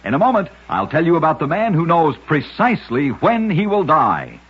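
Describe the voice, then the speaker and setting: A man's voice: on the high side, wildly swooping pitch, normal speed, breathy edge. A man narrating short scripted oddity stories as a radio host, with a dramatic storytelling delivery. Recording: muffled vintage radio recording.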